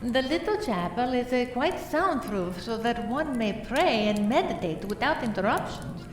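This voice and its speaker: A woman playing a pious, demure lady suspect in a radio play, polite and halting, repeating her words. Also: on the high side, lively intonation, slight background noise, close to an everyday voice. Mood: apologetic, demure. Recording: echoey room from far off.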